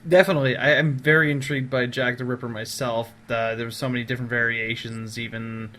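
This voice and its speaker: A man's voice: mid-range voice, flat delivery, fast, pushed and bright. A man hosting a podcast in casual, conversational chat. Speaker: podcast host. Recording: noticeable background noise.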